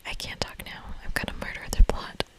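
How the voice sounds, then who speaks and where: A woman's voice: fast, natural voice. A woman voicing an irritable, scheming young female monster from a video game, snappy and melodramatic, all in a whisper. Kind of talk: whispered video game dialogue read aloud.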